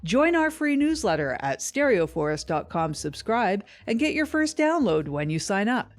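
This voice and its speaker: A woman's voice: medium pitch, big pitch swings, normal speed. A woman announcer giving a scripted promotional read. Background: music.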